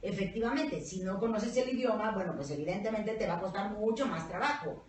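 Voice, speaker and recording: A Mexican woman co-hosting a casual panel chat, blunt, profane and animated, with a Mexican Spanish accent. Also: mid-range voice, brisk, a crowd in the background. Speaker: co-host. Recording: echoey room, mic at a distance.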